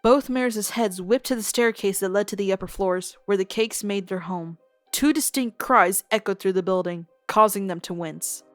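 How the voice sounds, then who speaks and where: A woman reads a scripted tale as narrator, in a mid-pitched voice. Her delivery is expressive, with faint music underneath. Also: normal speed, no echo.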